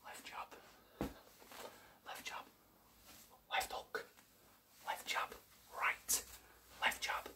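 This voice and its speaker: A young man playing a boastful, self-assured champion boxer in a breathy, repetitive whisper for an ASMR roleplay. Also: extremely slow, pauses often, bright, forceful tone, distant mic, exaggerated voice. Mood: boastful, instructing. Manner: bragging, repetitive.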